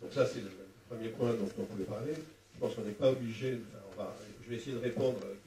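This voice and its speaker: An older man explaining things at a public debate in a halting, didactic way, speaking into a handheld microphone. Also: mid-range voice, mellow. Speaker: former local councillor, panel speaker. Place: small wood-walled meeting room at a public event. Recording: roomy, distant sound with faint noise.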